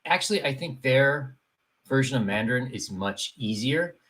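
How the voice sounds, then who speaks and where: A man's voice: mid-range voice, expressive, normal speed, crowd noise. A man hosting an interview, asking questions in a friendly, conversational way. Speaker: podcast host. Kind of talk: casual interview chat.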